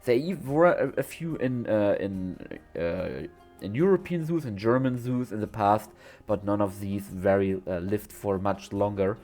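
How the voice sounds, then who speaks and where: A man's voice: on the low side, animated, a little slow, a little mellow, faint music behind. A young man with a cold, voice slightly off, chatting and rambling through a game commentary voice-over with many restarts. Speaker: zoo-building game creator.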